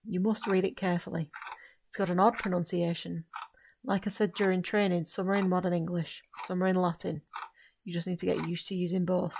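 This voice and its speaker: Firm, low-voiced young witch played by a woman. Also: flat delivery, fairly quick, gravelly, a little mellow, a little hiss or hum. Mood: firm instruction. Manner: firm, instructive, formal phrasing. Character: composed, bossy young female witch and spell tutor. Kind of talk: audio drama acting.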